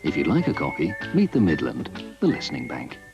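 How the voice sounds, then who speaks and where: Deep, animated male advert voice-over. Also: brisk, vocal fry. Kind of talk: scripted bank advert narration. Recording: dull sound with music behind.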